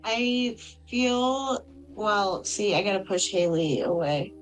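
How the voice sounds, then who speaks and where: Slow-paced woman playing a tabletop game. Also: medium pitch, expressive, rough recording. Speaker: player. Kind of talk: casual tabletop game chat and narration. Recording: music under the voice.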